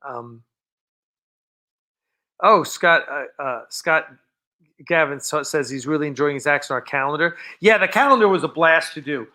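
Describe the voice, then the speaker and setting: A man's voice: on the high side, normal speed, pauses often, bright, forceful tone. A man hosting a fan livestream, chatting casually and answering viewer questions in an informal, rambling way. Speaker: host of a fan film livestream.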